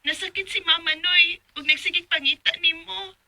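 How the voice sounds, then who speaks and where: Very high-pitched worried Bisaya sister. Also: female voice, steady tone, normal speed, faint music behind, exaggerated voice. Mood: worried, urgent. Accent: Filipino Bisaya. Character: worried younger sister on the telephone. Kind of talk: Bisaya radio drama acting. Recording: loud noise and clicks.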